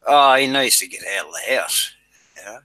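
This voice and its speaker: A blunt man with a bright, forceful voice, mocking and swearing as he chats. The sound is dry and close. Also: mid-range voice, expressive, normal speed, no room sound. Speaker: channel host. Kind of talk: casual chat on a live show.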